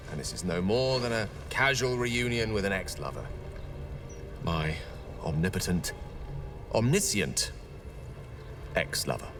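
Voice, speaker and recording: A man's voice: sits low, full character voice. A man playing a proud, witty wizard in a video game, eloquent, theatrical and wry, nursing old heartbreak. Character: proud, witty male wizard, wounded ex-lover of a goddess. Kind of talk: dramatic video game dialogue.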